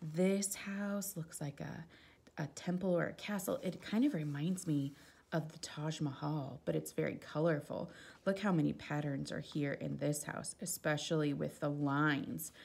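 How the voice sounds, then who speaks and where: A woman's voice: low voice, a little slow, vocal fry, slightly rough. A woman reading a children's picture book aloud expressively, with casual asides about the pictures. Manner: expressive, exclaiming softly at the pictures.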